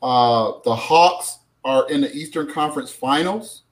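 A man's voice: medium pitch, unhurried. A middle-aged man co-hosting a casual live-stream chat, upbeat and prone to repeating words. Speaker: co-host.

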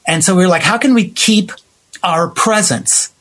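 High-pitched, husky man telling stories. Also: moderate pace. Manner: expressive storytelling, acts out imagined quotes. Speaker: interview guest, theater writer and director. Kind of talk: animated conversational interview.

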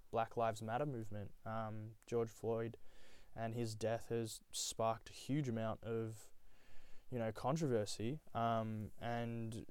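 Young man, warm dark voice, dry close sound, rambling reflective storytelling. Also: lowish voice, clear, resonant tone, dry recording. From Nepal. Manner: reflective, rambling with many fillers. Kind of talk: casual personal storytelling.

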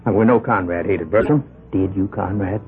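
A man's voice: normal speed, slight background noise. An actor playing a belligerent man pushing for a hanging in a 1970s radio drama, blustering and indignant. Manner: blustering and indignant, voice jumps high. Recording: tinny lo-fi 1970s radio broadcast.

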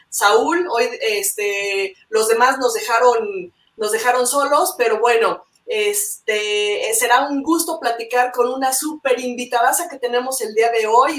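Echoey, distant high-voiced woman. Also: fairly bright tone. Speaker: podcast co-host. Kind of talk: casual chat, introducing the show. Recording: echoey room, distant microphone.

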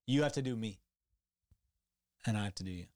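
Male podcast host, monotone, dry teasing delivery, close dry mic. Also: lowish voice, brisk, dry recording. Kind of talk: casual chat.

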